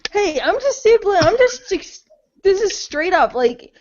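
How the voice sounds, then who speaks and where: A woman's voice: very high-pitched, big pitch swings, measured pace, muffled recording. A woman co-hosting a casual podcast, blunt, sarcastic and opinionated, with plenty of swearing. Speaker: podcast co-host.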